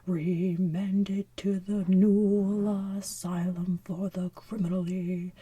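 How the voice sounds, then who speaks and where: A woman's voice: on the low side, little pitch movement, dark tone, breathy edge. A woman voicing a stern male judge, drawing out a grave sentence. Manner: slow, drawn-out, grave. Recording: faint hiss, off-mic.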